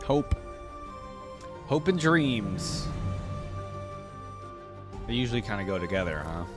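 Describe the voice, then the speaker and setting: A man's voice: fairly low pitch, sing-song, takes it slowly. Casual man thinking aloud over music. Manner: informal, musing, thinking aloud. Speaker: reaction video host. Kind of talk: casual reaction commentary. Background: music from the videos being played.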